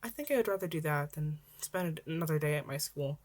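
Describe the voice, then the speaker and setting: A woman's voice: sits low, animated, brisk, darkish tone. A woman voicing a polite, anxious but cheerful teenage boy in a story, with a hesitant, chirpy manner.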